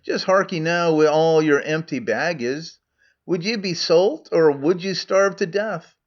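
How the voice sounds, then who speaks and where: A man's voice: a little high, unhurried, close to an everyday voice. A man voicing a crafty, poor male trader with a broad Scottish accent, coaxing and blustering. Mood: wheedling. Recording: muffled lo-fi recording.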